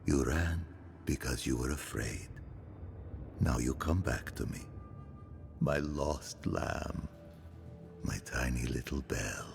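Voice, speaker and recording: A man voicing a menacing, mad cult leader in a video game, preaching slowly and theatrically in a very gravelly voice. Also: very deep voice, soft background music, heavily acted. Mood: sinister tenderness. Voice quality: very gravelly, creaky. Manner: slow, theatrical, sermon-like. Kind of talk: video game cutscene acting.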